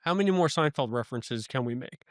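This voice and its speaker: A man co-hosts a casual review podcast. He rambles eagerly through his points, stumbling and restarting as he goes. His mid-pitched voice is recorded very dry, with no room sound. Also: animated, quick pace, dry recording. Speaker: podcast co-host.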